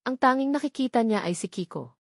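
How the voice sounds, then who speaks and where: A woman's voice: fairly high pitch, expressive, fast, no echo, studio quality. A woman with a Filipino accent reads a scripted love story as a storyteller, in a sentimental, earnest manner.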